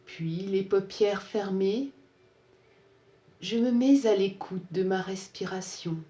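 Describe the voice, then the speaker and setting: A woman leading a guided yoga relaxation in a calm, soothing, measured way, leaving pauses between phrases. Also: medium pitch. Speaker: yoga nidra instructor. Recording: roomy, echoing sound.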